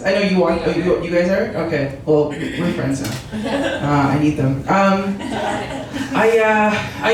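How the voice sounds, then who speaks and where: A young woman doing a stand-up comedy set in a barbershop, chatty, laughing often and restarting her sentences. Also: fairly low pitch. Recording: echoey room from far off, noisy.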